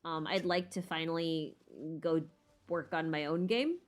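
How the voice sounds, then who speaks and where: A woman's voice: deep voice, unhurried. A woman streamer casually answering viewer questions unscripted, thinking aloud in a rambling, self-deprecating way.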